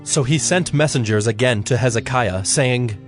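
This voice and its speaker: A man's voice: mid-range voice, moderate pace, soft background music. A man narrating scripture from a script as the storyteller of an audio drama.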